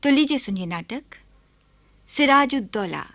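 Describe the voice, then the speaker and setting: A woman's voice: high-pitched, lively intonation, unhurried, a bit bright, no room sound. A woman radio announcer reading a formal scripted introduction to a Hindi drama, close on a studio microphone.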